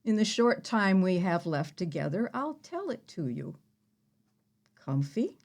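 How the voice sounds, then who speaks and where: A woman playing a proud biblical heroine in an audio play, telling her tale in a measured, mocking manner. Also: lowish voice, sing-song, a little mellow, full character voice. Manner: measured, mocking, deliberate storytelling. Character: proud, sardonic biblical heroine who slew an enemy general. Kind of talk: theatrical audio-play monologue.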